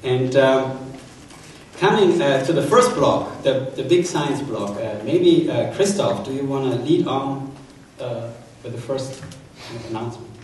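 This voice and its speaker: A man's voice: mid-range voice, animated, a little slow. Echoey, distant German-accented man. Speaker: panel moderator introducing speakers. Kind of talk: informal introductory talk to an audience. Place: large hall, town hall event. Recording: echoey hall, distant mic, faint noise.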